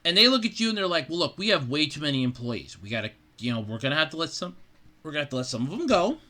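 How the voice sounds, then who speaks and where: A man's voice: a little high, sing-song, rapid-fire, sharp tone. A man hosting a podcast, giving casual, blunt solo commentary in a conversational way and slipping into imagined dialogue. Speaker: podcast host.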